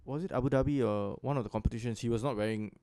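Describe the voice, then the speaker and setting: A man's voice: mid-range voice, racing, warm tone, clear, resonant tone, dry recording. A young man, chiming in with short remarks in a casual podcast chat. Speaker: podcast co-host.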